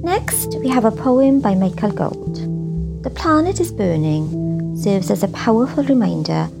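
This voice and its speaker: A woman's voice: mid-range voice, lively intonation, measured pace, vocal fry, over music. A woman presenting a literary showcase, introducing pieces and reading her own reflective writing in a scripted style.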